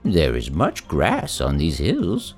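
A man voicing a kindly, eager very old man in a storybook reading, in a gentle, earnest tone. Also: a bass voice, huge pitch swings, takes it slowly, soft background music, exaggerated voice.

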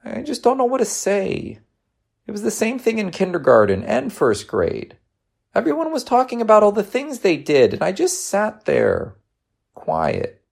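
Storybook kid played by a male narrator: young boy, downcast and protesting, raised pitch, wide expressive swings. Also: unhurried, vocal fry, husky, exaggerated voice. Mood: glum, worried. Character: glum young schoolboy, anxious, sulky, normally talkative.

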